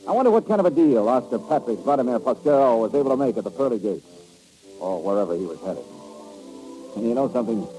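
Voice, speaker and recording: A tough, sardonic American detective played by a male actor from an old radio drama. His voice is mellow and swings widely in pitch as he talks in a clipped, hard-boiled way. The recording is vintage radio with faint music. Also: animated, fast talker, lightly acted, poor audio. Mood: wry, reflective. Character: tough, sardonic American insurance investigator, adult man. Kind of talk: old-time radio detective acting and narration.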